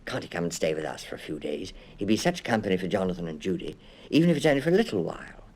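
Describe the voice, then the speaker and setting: A man voicing a kindly, excitable middle-class wife in a children's audiobook, brisk and exclamatory. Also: deep voice, breathy edge, exaggerated voice. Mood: concerned, pleading.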